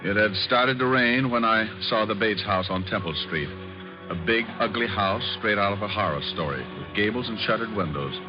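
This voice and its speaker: Man playing a low-voiced wisecracking private eye. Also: moderate pace, lightly acted. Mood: wry narration. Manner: glib, sarcastic, flippant. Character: breezy, wisecracking male private detective. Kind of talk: 1940s-50s radio detective drama acting with narration. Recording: muffled old radio recording with music.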